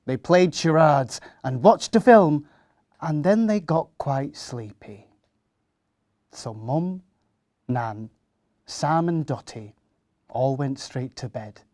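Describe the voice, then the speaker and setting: A man reading a rhyming children's story aloud in an expressive storytelling style. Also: on the high side, slow, pauses often, no room sound, studio quality.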